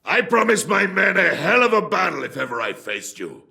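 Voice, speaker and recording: An actor playing a hulking mercenary villain in a video game, boastful and theatrical, with a gravelly growl and taunting delivery. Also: male voice, high voice, very animated, moderate pace, pushed and bright, exaggerated voice. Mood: gloating threat. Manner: taunting, grandiose. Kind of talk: dramatic game voice acting.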